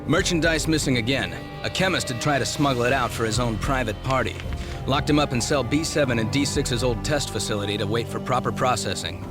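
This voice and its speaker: A man's voice: mid-range voice, fairly quick, over music, close to an everyday voice. An actor playing a businesslike male mercenary giving a clipped incident report.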